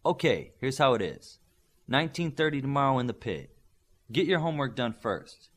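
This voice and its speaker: A man's voice: medium pitch, very animated, normal speed, a bit bright, voice pushed up. A man reading an audiobook as a stern male combat coach, firm, blunt and demanding. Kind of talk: audiobook character dialogue.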